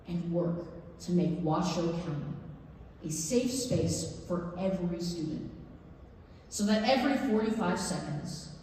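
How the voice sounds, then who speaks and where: Woman acting as an earnest urgent activist giving a public speech, deliberate and emphatic, really echoey hall, mic far off. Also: fairly low pitch, dark tone, lightly acted. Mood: solemn pleading. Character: earnest, urgent woman pleading at a school board. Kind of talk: staged reading of a public comment speech. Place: library district theatre stage. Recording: echoey hall, distant mic, faint noise.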